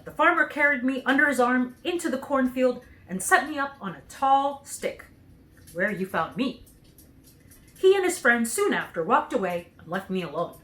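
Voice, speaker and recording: Woman playing an earnest, lively storybook scarecrow. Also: high-pitched, normal speed. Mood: wistful, earnest. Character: cheerful, naive straw scarecrow man, earnest storyteller.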